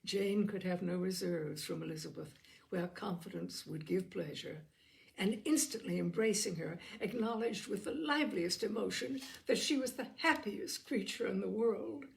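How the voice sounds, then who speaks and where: Woman reading an old novel out loud, lots of creak in the voice, expressive storyteller. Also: mid-range voice, normal speed. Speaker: audiobook reader. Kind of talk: scripted literary narration, reading a classic novel aloud.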